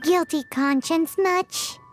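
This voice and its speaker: A woman voicing a tiny childlike floating companion in a video game, squeaky, blunt and inquisitive. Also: takes it slowly, faint music behind. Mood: snarky.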